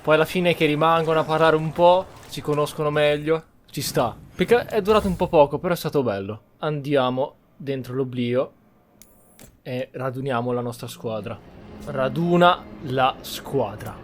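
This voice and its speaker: A man's voice: on the high side, moderate pace, a little hiss or hum. A man with an Italian accent, a gaming streamer giving casual playthrough commentary.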